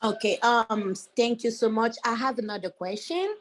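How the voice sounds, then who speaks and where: A woman with a Haitian accent and a slightly high voice speaks as a parent at a public question session. Creole is her first language, and her phrasing is casual and conversational. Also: expressive, moderate pace.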